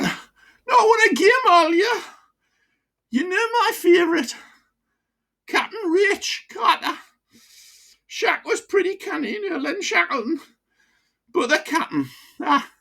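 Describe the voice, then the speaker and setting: A woman's voice: very high voice, lively intonation, slow pace, vocal fry, long pauses, a bit bright, voice pushed up, full character voice. A woman voicing a breathless old male ex-miner from Sunderland with a broad local accent and blunt gallows humour. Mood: rueful wheezy. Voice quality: breathless, broad dialect, cackling. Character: old wheezing Sunderland ex-miner man, grimly jokey.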